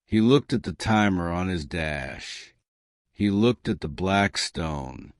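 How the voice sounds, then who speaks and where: A man narrating a scripted supernatural thriller in a measured, dramatic, suspenseful way. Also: deep voice, expressive, no room sound, studio quality. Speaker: storyteller narrator.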